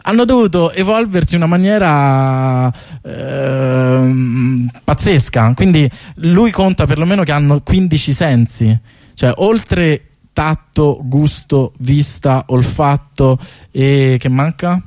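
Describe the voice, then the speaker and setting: A man's voice: fairly high pitch, takes it slowly, a little mellow, no room sound. A man talking informally and colloquially as a guest in a radio studio, explaining things in a chatty way. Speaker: radio guest, artist presenting a bike tour project. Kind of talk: animated explanation in casual radio interview.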